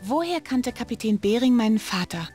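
A woman's voice: on the high side, expressive, brisk, a little mellow, soft background music, natural voice. An actress voicing an inquisitive teenage girl detective in a video game. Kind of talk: video game character dialogue.

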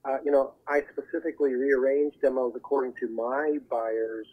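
Male agent, interview guest on a tinny phone, husky, halting explanatory delivery. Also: mid-range voice, little pitch movement. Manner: halting, searching for words. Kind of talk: interview answers, explanatory advice. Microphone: telephone. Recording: muffled narrowband phone line.

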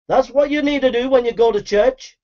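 A high-pitched man preaching with fierce urgency, repeating his lines again and again as he calls listeners to repent. His voice comes through a dull, muffled recording. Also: a little fast. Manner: exhorting, repetitive, mocking mimicry of flattery. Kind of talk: fiery sermon.

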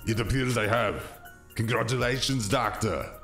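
A man playing a stiff, humorless robot guest in a comedy review skit, speaking in a formal, halting deadpan. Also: mid-range voice, soft background music, natural voice.

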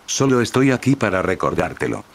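A sly young witch teasing her older brother in a storybook, read aloud by a man. The voice is low and deep. The reading is plain and dry. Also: very animated, a little fast, gravelly, a little hiss or hum.

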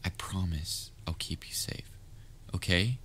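A man's voice: low voice, unhurried, fairly bright tone, in a whisper. A man playing a patient, sometimes exasperated but caring young babysitter in a roleplay audio drama, talking in a casual, coaxing way. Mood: soothing. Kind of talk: roleplay audio drama, speaking directly to listener.

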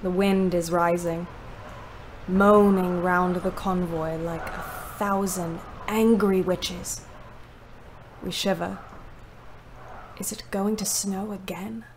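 An actress playing a weary young ambulance driver, narrating her diary in a measured, dryly wry way for an audio play. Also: lowish voice, fairly even intonation, slight background noise. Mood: descriptive.

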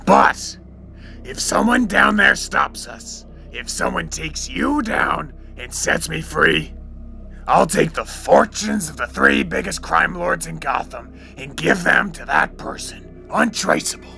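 Gravelly, sharp, taunting crime boss. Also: male voice, a little high, lively intonation, faint music behind, exaggerated voice. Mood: menacing, taunting. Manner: theatrical, drawn-out, taunting. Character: taunting, scheming male crime boss villain, theatrical and menacing. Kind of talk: comic book audio drama acting.

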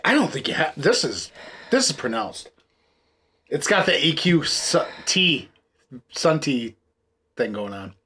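A man's voice: a little high, big pitch swings, measured pace, pauses often, sharp tone, husky. A man in his thirties co-hosting a beer review, chatting loosely at a table indoors and piling up "like"s as he talks. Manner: rambling, loose, says "like" a lot. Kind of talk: casual chat. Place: indoor room with a tasting table.

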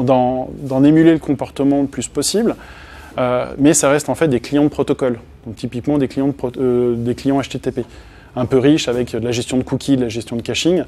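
A man's voice: medium pitch, warm tone. A man in his thirties giving a technical talk to a small audience, casual, with many hesitations and restarts. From France. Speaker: conference speaker. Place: meeting room with projector and small audience.

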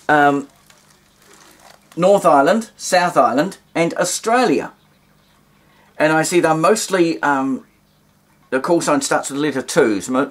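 A man talks through a hobby demonstration indoors. His voice sits a little high for a man. He is casual and unscripted, pausing and wandering into wry asides. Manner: hesitant, rambling, wry asides. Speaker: vintage radio hobbyist demonstrating.

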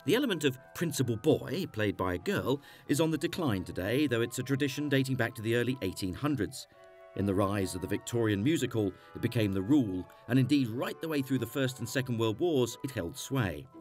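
A man's voice: mid-range voice, animated, brisk, darkish tone. A man reading scripted documentary narration. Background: faint music.

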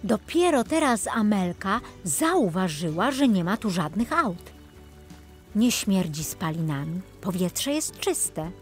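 A woman's voice: medium pitch, big pitch swings, slow pace. A woman reading scripted narration for a children's audiobook as a storyteller. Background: music.

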